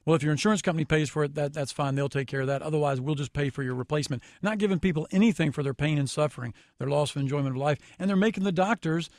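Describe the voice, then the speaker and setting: A man's voice: on the high side, racing, few pauses, a little mellow, dry recording, clean studio sound. An American man giving critical, explanatory commentary as a guest on a radio talk show.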